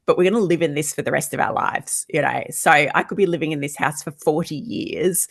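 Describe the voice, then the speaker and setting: Podcast guest: middle-aged Australian woman, low husky voice with some fry, candid and chatty. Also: dry recording, studio quality. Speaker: podcast guest, home owner building a house. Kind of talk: reflective personal interview conversation.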